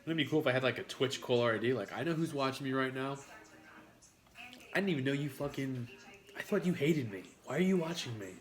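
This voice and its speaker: A man's voice: mid-range voice, expressive, fast. A man with a New York accent eating on a live stream, rambling to his chat with frequent swearing and jokes. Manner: rambling, profane, jokey with chat.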